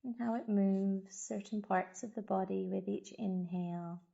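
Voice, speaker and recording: A woman guiding a body scan meditation as a yoga teacher, giving gentle, soothing instructions with long pauses. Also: medium pitch, fairly even intonation, creaky, highs cut off.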